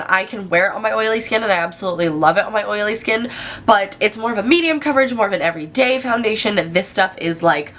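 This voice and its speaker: A woman's voice: medium pitch, fairly bright tone, a little hiss or hum. A young woman in her twenties with a stuffy, nasal voice from a cold, chatting enthusiastically through a casual makeup review. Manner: chatty, enthusiastic, many fillers. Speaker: beauty vlogger.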